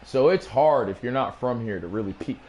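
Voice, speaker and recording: A man's voice: mid-range voice, expressive, a little fast, darkish tone, lo-fi sound, slight background noise. A man with a New England accent, chatting casually on a live stream, rambling and boastful and swearing freely as he plays his old songs. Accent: New England, Rhode Island. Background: music playing.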